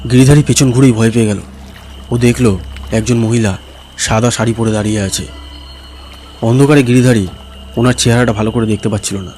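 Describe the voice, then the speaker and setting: A man's voice: medium pitch, fairly even intonation, quick pace, long pauses, darkish tone, slight background noise. A man narrating a scripted cartoon story as its storyteller.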